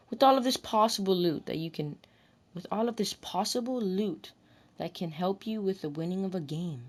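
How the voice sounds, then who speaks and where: A woman recording scripted commentary about a video game, deliberately delivering lines and often rephrasing them in retakes. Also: fairly low pitch, darkish tone. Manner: deliberate, restarts and rephrases whole sentences.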